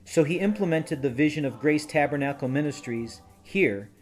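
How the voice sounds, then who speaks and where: A man reading scripted promotional narration in a measured, appealing tone. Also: a little high, expressive. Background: soft music.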